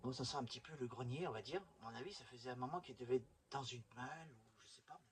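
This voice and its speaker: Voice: medium pitch, quick pace. A YouTube host casually showing off a collection in a chatty, rambling, enthusiastic fan's way. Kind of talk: casual collection show-and-tell.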